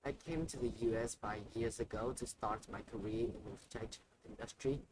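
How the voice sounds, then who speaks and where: Maria, an upbeat woman guest in the tech world, read out by a man, earnest and a little raised in delivery. The voice sits at an ordinary middle pitch. A noisy ambient hiss runs underneath. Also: fairly even intonation, normal speed, close to an everyday voice.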